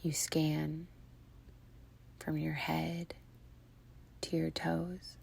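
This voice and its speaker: A woman leading a guided meditation in a breathy, near-whispered voice, soothing and hushed, with long pauses between phrases. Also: low voice, flat delivery.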